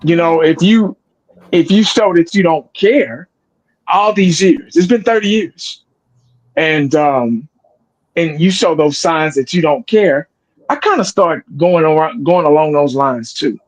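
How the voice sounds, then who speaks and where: A man in his forties speaks in a drawl, his voice sitting a touch high for a man. He talks bluntly and profanely as a sports video creator, rambling and restarting his sentences in a loose, casual chat. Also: measured pace, poor audio. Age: 40s.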